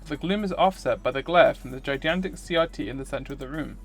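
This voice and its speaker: Male game streamer, slightly high voice, scripted first-person narration with faint music behind. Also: fast talker. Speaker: streamer reading a visual novel aloud. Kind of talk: scripted narration read aloud.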